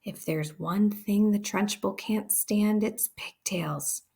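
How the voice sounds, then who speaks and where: A watchful, scornful schoolgirl from a storybook, as a woman reader plays her. She talks in confidential murmurs and whispers, like someone passing on gossip, at a measured pace. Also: medium pitch, vocal fry, darkish tone, breathy edge, full character voice. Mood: knowing.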